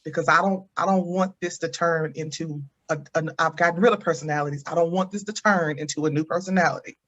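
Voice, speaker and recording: A woman's voice: low voice, steady tone, fast, fairly bright tone, dull audio. A woman speaking as a guest about her faith, intense and self-questioning. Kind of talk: reflective faith talk.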